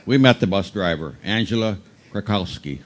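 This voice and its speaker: A man playing an earnest, deadpan bus police investigator in a live radio drama parody, stumbling over some words. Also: fairly low pitch, moderate pace, close to an everyday voice. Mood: deadpan, official. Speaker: amateur performer in a live radio play. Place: live stage performance aboard a cruise ship. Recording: noisy live room recording.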